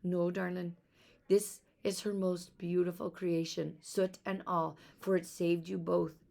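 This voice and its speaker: A woman's voice: on the low side, little pitch movement, a little slow, vocal fry, dark tone. A woman reading a children's storybook, voicing a tender mother who speaks with loving, fervent emotion. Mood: tender, relieved. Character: tender, emotional mother of two young girls. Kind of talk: children's storybook read aloud.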